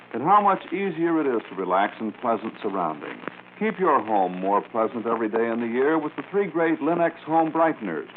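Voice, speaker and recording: A thin, faintly noisy 1940s radio recording of an American male announcer. He reads scripted advertising copy in a polished, formal manner at a medium male pitch. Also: narrow, tinny audio.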